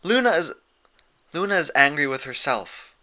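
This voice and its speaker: A wise, motherly pony princess, put on by a male reader in a fan storybook. The delivery is measured and patient, in a raised, very crisp bright voice. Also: fairly high pitch, big pitch swings, voice pushed up, exaggerated voice. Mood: reassuring. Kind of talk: fan fiction audiobook character voice.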